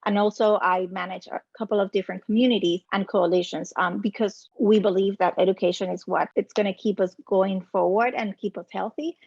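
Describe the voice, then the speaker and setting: A woman's voice: medium pitch, fairly even intonation, creaky, darkish tone, breathy edge, dry recording. Middle-aged woman guest with a Puerto Rican accent, earnest storytelling on a lo-fi, dry video call line. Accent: Puerto Rican Spanish. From Puerto Rico. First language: Spanish. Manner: earnest, measured, frequent ums. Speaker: guest, nonprofit program manager. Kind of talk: interview storytelling.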